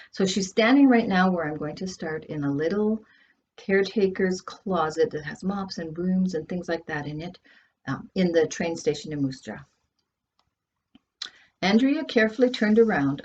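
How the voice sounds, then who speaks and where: A woman's voice: lowish voice, a little mellow, breathy edge, lo-fi sound. A woman with a Canadian accent, a children's author reading a story aloud expressively with dramatic emphasis on key words.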